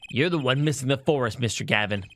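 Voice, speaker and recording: A man's voice: medium pitch, quick pace, fairly bright tone, slight background noise, natural voice. A man reading as an earnest young defense lawyer. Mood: determined.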